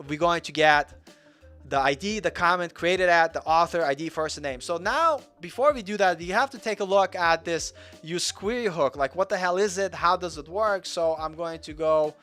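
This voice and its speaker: A man's voice: fairly high pitch, normal speed, pushed and bright, faint music behind. A young man from Russia giving a casual, rambling live coding walkthrough as the show's host, chatty and self-deprecating. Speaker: coding tutor.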